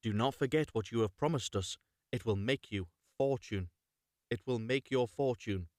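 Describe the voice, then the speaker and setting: A man's voice: medium pitch, moderate pace, a little mellow, no echo, clean studio sound, natural voice. Man voicing an odd old spinning woman. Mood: insistent. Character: three odd old spinning women, helpful and matter-of-fact.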